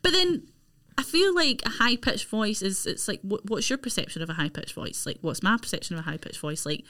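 Lively young woman chatting. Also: medium pitch. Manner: animated, swearing, quick asides. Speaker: podcast guest. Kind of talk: casual chat.

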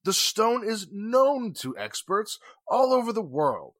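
Male actor as wealthy, suspicious 1940s radio-drama businessman; sharp bright tone, slow emphatic delivery, formal and indignant. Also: sits high, huge pitch swings, dry recording, clean studio sound, exaggerated voice. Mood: indignant, accusing. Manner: deliberate, emphatic, formal phrasing.

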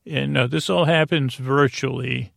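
A man hosting a sleep podcast, delivering a rambling bedtime monologue in a halting, self-interrupting way that drifts into tangents. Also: mid-range voice, fairly even intonation, vocal fry, a bit bright, dry recording, clean studio sound. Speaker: podcast host.

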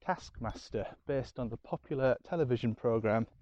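A man's voice: mid-range voice, normal speed, darkish tone, muffled recording. A man with a Yorkshire accent rambling casually through his weekend in a diary-style chat, with lots of ums and a self-deprecating manner. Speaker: diary podcast host. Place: home.

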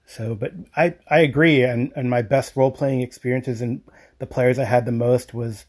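A man with a dark, mellow voice leaves a casual message for a podcast. His intonation stays quite flat, and he rambles haltingly, letting phrases trail off. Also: medium pitch. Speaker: podcast caller.

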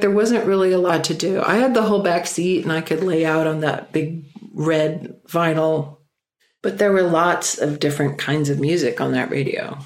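Reflective speaker musing aloud. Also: medium pitch. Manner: musing, with frequent "you know" fillers. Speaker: speaker giving a personal talk. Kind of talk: reflective personal storytelling talk.

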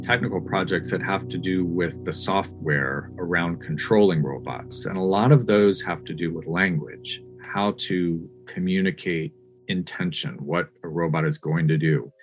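An American man giving measured, thoughtful explanations as a researcher being interviewed. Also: low voice, soft background music. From United States. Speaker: academic researcher and interview guest.

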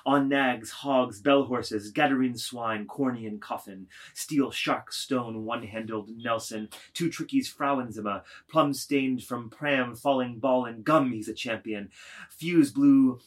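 A man's voice: mid-range voice, a little slow. A man reading scripted literary narration expressively, with rhythmic, emphatic delivery of lists of words.

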